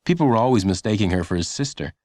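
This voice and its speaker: A man reading a children's audiobook as scripted narration, in a measured, dramatic storytelling manner. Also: on the low side, expressive, a little mellow, dry recording.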